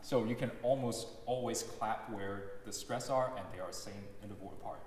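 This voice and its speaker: A man's voice: fairly low pitch, darkish tone. Young man lecturing in an echoey hall. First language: Cantonese. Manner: explanatory, measured, demonstrating examples aloud. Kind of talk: lecture recital talk. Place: large recital hall. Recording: echoey hall with long reverb, faint noise.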